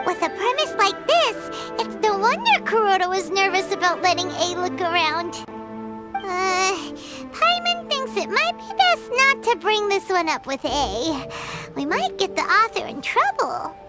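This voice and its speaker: A woman's voice: very high voice, measured pace, music in the background, heavily acted. A woman voicing a small, bubbly female fairy companion in a video game who talks about herself in the third person, heard over game audio. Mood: thoughtful. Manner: bubbly and excitable. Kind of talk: game voice acting.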